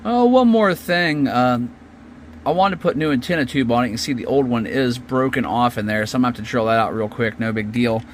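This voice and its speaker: A man's voice: medium pitch, fairly quick, a little hiss or hum. A man giving a casual, unscripted hobby show-and-tell, thinking aloud as he works, recorded on a smartphone. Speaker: hobbyist presenter. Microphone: smartphone camera at the workbench.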